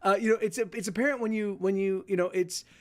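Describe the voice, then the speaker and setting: A man in his forties hosting a livestream, chatting casually with viewers in halting, self-interrupting sentences and frequent swearing. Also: high voice, steady tone. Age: 40s. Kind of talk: rambling casual chat.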